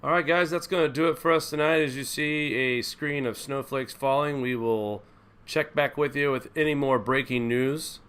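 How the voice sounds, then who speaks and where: Folksy male stream host, smooth voice, mid pitch. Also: steady tone, moderate pace, a bit bright. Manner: folksy, addresses viewers as guys and folks. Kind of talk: live news stream with weather forecast.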